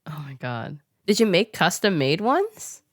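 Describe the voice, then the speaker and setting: A woman hosting a casual interview chat, giggly and full of expressive interjections. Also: fairly low pitch, a little slow. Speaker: show host, interviewer. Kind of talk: casual chat, reacting to guest.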